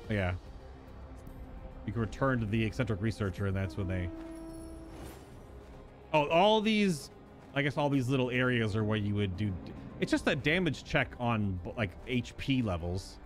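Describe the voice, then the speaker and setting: Male streamer, animated intonation, casual thinking-out-loud game commentary, faint music underneath. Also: mid-range voice. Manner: rambling, musing, thinking aloud. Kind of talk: casual live stream commentary, reading game dialogue aloud.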